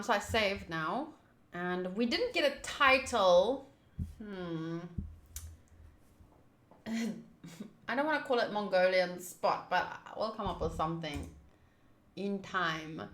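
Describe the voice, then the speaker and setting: A woman's voice: mid-range voice, fairly bright tone, roomy sound. A young woman hosting a game livestream from a room at home, chatting casually with her viewers. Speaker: streamer, host of a game playthrough. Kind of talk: casual livestream chat and commentary.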